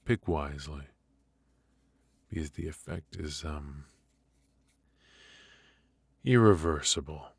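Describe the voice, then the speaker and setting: A man playing a teasing, menacing mafia boss in an ASMR roleplay, speaking in a halting, mock-polite, softly taunting way. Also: extremely low pitch, creaky, breathy edge, no room sound, clean studio sound, exaggerated voice. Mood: quietly threatening.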